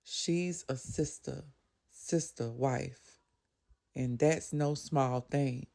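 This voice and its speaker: A woman voicing an older male pastor who counsels slowly and gravely. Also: a bass voice, warm tone, full character voice. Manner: slow, weighty counsel.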